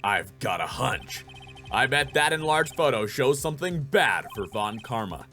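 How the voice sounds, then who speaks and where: A man playing an earnest, determined young defense attorney in a courtroom game, emphatic and excitable. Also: medium pitch, measured pace, faint music behind. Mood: resolute. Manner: emphatic, accusing, inner musing.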